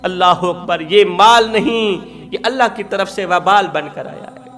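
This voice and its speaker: A man's voice: high voice, fairly bright tone. A man preaching a fervent sermon, telling a moral story with repeated emphatic phrases and rising cries. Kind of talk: impassioned Urdu sermon with storytelling.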